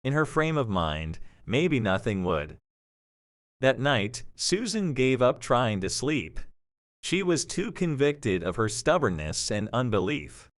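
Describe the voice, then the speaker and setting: Expressive male story narrator. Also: mid-range voice, slow pace, no echo, clean studio sound.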